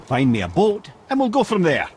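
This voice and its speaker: An actor playing a witty, talkative old sage in a video game, with a wry, eloquent storytelling manner. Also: male voice, sits high, huge pitch swings, fairly quick, a little mellow, a little hiss or hum. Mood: brisk, wry.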